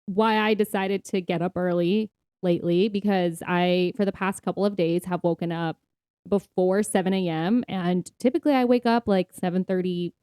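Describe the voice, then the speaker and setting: A woman's voice: lowish voice, steady tone, normal speed, dark tone, smooth, no echo. Woman podcast host, chatty and informal, really dry room sound. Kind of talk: casual conversational podcast chat.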